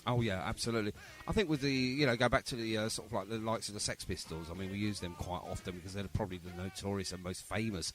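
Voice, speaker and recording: A man's voice: fairly low pitch, fairly even intonation, fast talker, few pauses, a bit bright, soft background music. A man hosting a casual radio interview in a chatty, rambling, jokey way. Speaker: radio show and podcast host.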